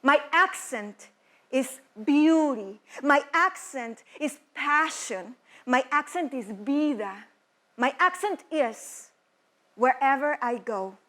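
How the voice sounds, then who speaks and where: Casting: Mexican-accented woman, high voice, spoken word artist and teacher. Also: expressive, a bit bright. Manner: slow, measured poetic delivery with Spanish words.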